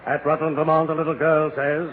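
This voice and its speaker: An actor playing a solemn, urgent news bulletin reader in a 1940s radio parody. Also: male voice, a little high, level tone, brisk, lightly acted. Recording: tinny 1940s radio recording with hiss.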